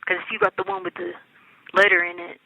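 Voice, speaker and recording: A woman's voice: mid-range voice, big pitch swings, fairly quick, creaky, pushed and bright, no echo. American woman on a tinny phone line. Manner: colloquial, insistent, repeatedly checks she is understood. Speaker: inmate on a jail phone call. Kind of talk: casual personal phone conversation. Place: recorded jail phone call. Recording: tinny, narrow telephone line.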